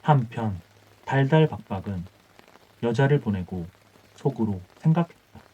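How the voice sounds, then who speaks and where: A man's voice: medium pitch, expressive, measured pace, mellow, breathy edge. A man reading an old folktale as scripted bedtime audiobook narration. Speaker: audiobook storyteller. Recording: dull muffled audio with music.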